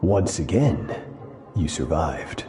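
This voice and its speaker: A man playing a cold, merciless sword master in a video game, speaking in a stern, commanding and deliberate way. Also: rumbling low, expressive, warm tone, soft background music, exaggerated voice. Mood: grave, severe. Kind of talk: dramatic video game voice acting.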